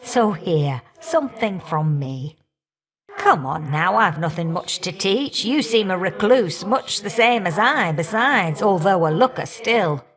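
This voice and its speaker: A woman's voice: medium pitch, expressive, measured pace, a bit bright. A woman voicing an older goddess of the hearth in a video game, blunt, hot-tempered and affectionate, with a folksy, teasing, auntie-like way of talking. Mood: fond. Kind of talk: video game character dialogue.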